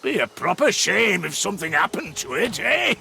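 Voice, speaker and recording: A man voicing a gruff, boisterous dwarf engineer in a video game, hearty, colloquial and boastful, with a gravelly voice. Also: high-pitched, big pitch swings, moderate pace, sharp tone, exaggerated voice. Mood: wry, determined. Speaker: mission-briefing game character. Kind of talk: scripted video game voice lines.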